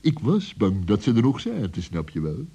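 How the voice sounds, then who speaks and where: A man's voice: on the low side, wildly swooping pitch, normal speed, dark tone, slightly rough, muffled recording, exaggerated voice. A man playing a sly fox in a children's radio play, wily and condescending in a falsely kindly way, on an old recording. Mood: sly, soothing.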